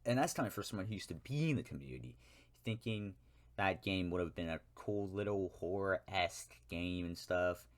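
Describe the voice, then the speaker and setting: A young man with an American accent and a very low-pitched voice talks straight to his viewers. He rambles through a personal rant, stops to correct himself, and drops wry asides as he goes. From United States. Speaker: YouTuber. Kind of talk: ranting solo monologue.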